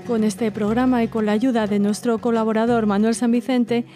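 A woman hosting a radio program, reading listener letters aloud in a measured, gentle way with occasional hesitations. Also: a little high, flat delivery. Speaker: radio host. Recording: faint music underneath.

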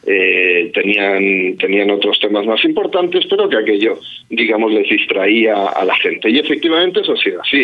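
A man from Spain speaking as a guest in a radio interview by phone, with many fillers and restarts. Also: on the low side. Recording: tinny phone line.

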